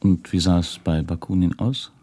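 An actor playing a sober, neutral investigator who records witness accounts in a clipped manner for a documentary radio drama. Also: male voice, deep voice, steady tone, normal speed, warm tone. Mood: inquiring. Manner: matter-of-fact, clipped, neutral.

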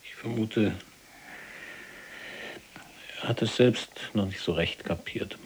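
An actor playing an inquisitive, reserved political prisoner who questions cellmates in measured, educated language in a radio play. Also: male voice, on the low side, in a whisper. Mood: curious, dry. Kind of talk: German radio play dialogue.

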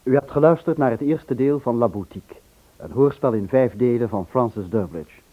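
A man's voice: mid-range voice, lively intonation, moderate pace, faint music behind. A man announcing formally from a script, as a radio announcer reading out credits. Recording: muffled lo-fi old radio recording.

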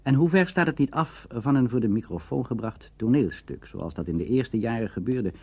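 Husky, expressive male documentary narrator. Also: mid-range voice. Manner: measured, expressive, erudite. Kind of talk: scripted narration on radio drama history.

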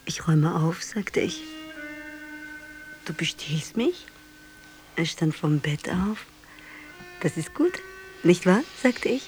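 A woman's voice: on the low side, animated, takes it slowly, long pauses, over music. An actress in a German radio play, playing a cynical, scheming young woman with a brazen, teasing manner that slips into whispers. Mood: sly, recounting. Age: young adult.

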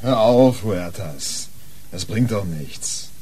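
An actor playing a cynical, disillusioned corrupt police detective in a radio crime drama, bitter and ranting. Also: male voice, fairly low pitch, expressive, takes it slowly, dark tone, breathy edge, exaggerated voice. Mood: weary. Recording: distant, very noisy outdoor car setting.

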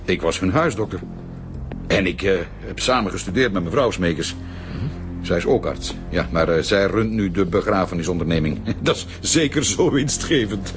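An actor playing a flustered, defensive Flemish family doctor in a radio police drama, speaking hesitantly and insistently. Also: male voice, deep voice, animated, normal speed, music in the background. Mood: chatty, uneasy.

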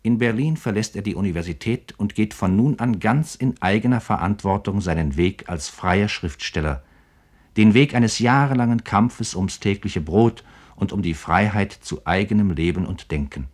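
Low-voiced, formal male narrator. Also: lively intonation, husky. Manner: formal, measured. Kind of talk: scripted biographical narration for German radio.

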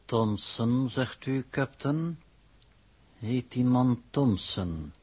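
Condescending man of science, 1960s radio drama, slow precise delivery, slightly husky. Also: medium pitch, lively intonation, darkish tone, heavily acted. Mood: guarded, polite. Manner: formal, precise, pedantic. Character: coolly superior male scientist, secretive and condescending. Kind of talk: 1960s Dutch radio play acting.

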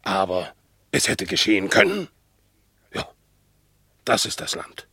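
An actor playing a world-weary, amorous bulldog in a theatrical, declamatory radio play style. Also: male voice, low voice, very animated, unhurried, sharp tone, husky, dry recording, studio quality, exaggerated voice. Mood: weary, wistful. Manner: declamatory, dramatic storytelling.

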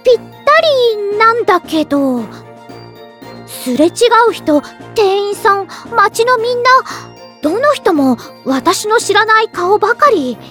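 A woman's voice: very high-pitched, big pitch swings, unhurried, fairly bright tone, soft background music, heavily acted. An actress playing a flamboyant, self-admiring ageless elf artist, narrating her own story in a theatrical, feminine anime drama style. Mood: dreamy, delighted.

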